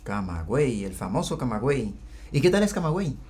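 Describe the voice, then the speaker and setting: A man's voice: mid-range voice, very animated, brisk, a little mellow, slightly rough. A man hosting a religious program, interviewing a guest with friendly, affirming interjections. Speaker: radio show host. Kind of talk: interview, presenting segments.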